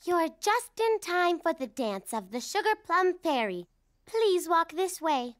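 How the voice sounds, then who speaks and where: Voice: very high-pitched, big pitch swings, measured pace, fairly bright tone, a little hiss or hum, exaggerated voice. An actor voicing a bossy, organised young girl bunny in a children's cartoon, speaking in a prim, instructive way. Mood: polite hostess. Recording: music bed behind dialogue.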